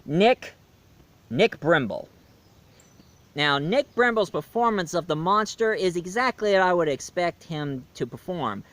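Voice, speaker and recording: Man, film reviewer, casual rambling recap, joking asides, slightly raised pitch. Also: lively intonation, unhurried, fairly bright tone. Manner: chatty and jokey, with rambling retellings.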